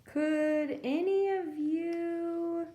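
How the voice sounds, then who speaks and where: A woman voicing a polite storybook bear who needs help, pleading courteously in drawn-out words. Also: very high voice, steady tone, mellow, echoey room, voice pushed up, heavily acted.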